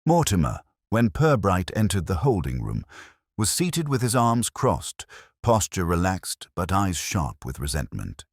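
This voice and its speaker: A man's voice: low voice, wildly swooping pitch, measured pace, breathy edge, no echo, clean studio sound. A man reading scripted detective fiction as a storybook narrator.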